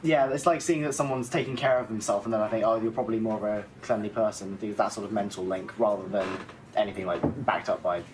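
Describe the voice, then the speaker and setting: A man's voice: lowish voice, steady tone, fast talker, a little mellow, low-quality recording. A man thinking aloud casually in an interview, with slight noise behind him. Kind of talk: casual chat.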